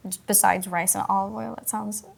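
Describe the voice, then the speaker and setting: A woman's voice: medium pitch, fairly even intonation, fairly quick, creaky. An American woman from Kentucky, whose first language was Spanish, hosting a podcast in a casual interview style. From Kentucky, United States. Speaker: podcast host.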